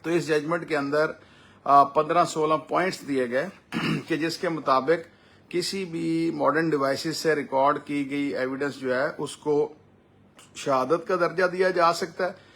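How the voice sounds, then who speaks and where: A man with a Pakistani accent giving a semi-formal explainer as a lawyer, speaking deliberately with drawn-out hesitations. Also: fairly high pitch, steady tone, a bit bright.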